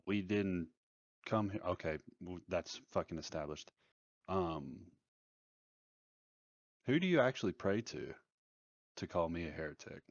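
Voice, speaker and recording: A man playing a tabletop game, talking casually in character, blunt and profane with halting phrasing. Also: low voice, expressive, no echo, lo-fi sound.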